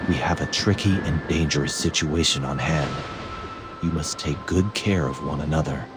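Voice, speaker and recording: An actor playing a grave, commanding battle leader in a video game, with a solemn, authoritative delivery. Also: male voice, a bass voice, moderate pace, very noisy. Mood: urgent. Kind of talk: dramatic video game voice acting.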